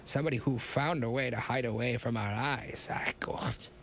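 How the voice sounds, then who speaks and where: A man voicing a huge, shaggy, frightened scientist with a German accent who talks in hushed whispers. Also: mid-range voice, steady tone, moderate pace, creaky, slight background noise. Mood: fearful.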